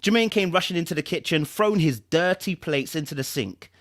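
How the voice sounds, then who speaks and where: A man with a London accent hosting a live stream, moving between casual chat and expressive reading from a book. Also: on the high side, a little fast. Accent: British, London. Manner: informal, repetitive, checks in with listeners.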